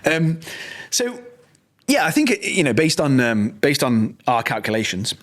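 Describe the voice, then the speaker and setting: A man's voice: medium pitch, big pitch swings, fairly bright tone. A man in his thirties, co-hosting a casual business podcast with chatty banter and lots of fillers. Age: 30s. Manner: quick, chatty, full of fillers and restarts. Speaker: podcast co-host.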